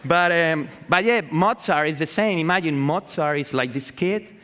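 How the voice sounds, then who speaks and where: Spanish guy giving a loose sweary talk on stage, big reverby hall, kinda halting english. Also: fairly high pitch, bright, forceful tone. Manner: sweary, halting, searching for words. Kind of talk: informal conference talk. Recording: echoey hall with long reverb.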